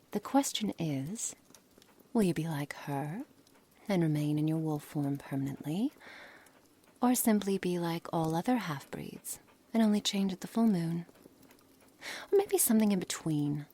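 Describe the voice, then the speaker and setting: A woman playing a coolly menacing, scholarly witch in an ASMR roleplay, speaking softly in formal, measured words with breathy, hushed passages. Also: lowish voice, animated, heavily acted. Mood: clinical, musing. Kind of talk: ASMR roleplay dramatic monologue.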